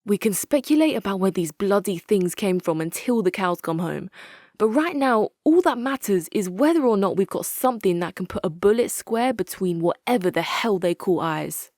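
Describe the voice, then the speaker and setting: A hard-nosed woman commander for a strategy video game. She speaks in measured, authoritative phrases with a wry edge and a middle pitch. The sound is dry and close, free of room echo. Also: lively intonation, darkish tone. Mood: blunt, firm. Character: hard-nosed, articulate female military commander, dry and resolute. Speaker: video game faction leader. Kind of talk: dramatic scripted game quotes.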